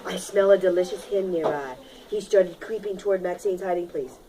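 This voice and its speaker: A woman teacher reading a picture book aloud to her class in an expressive, dramatic manner, leaning on key words and prompting children to repeat. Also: fairly low pitch, normal speed, rough recording. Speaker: primary school teacher.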